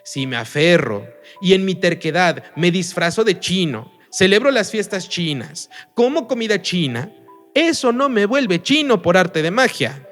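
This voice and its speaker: A man with a high, very animated voice gives a religious lesson. He speaks emphatically and keeps putting rhetorical questions to his students, with faint music under him. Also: unhurried. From Mexico. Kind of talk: recorded online lesson.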